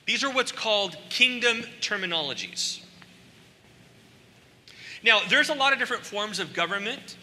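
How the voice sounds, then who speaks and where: A middle-aged man, born in Costa Rica, preaching a conversational sermon on a large church stage with animated asides. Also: high voice, moderate pace, long pauses. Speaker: pastor. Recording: long hall reverb.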